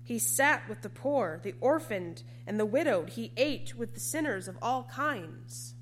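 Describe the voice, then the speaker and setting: A woman preacher delivering a sermon in a measured, emphatic way, with deliberate repetition. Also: a little high, lively intonation, a little hiss or hum, rough recording.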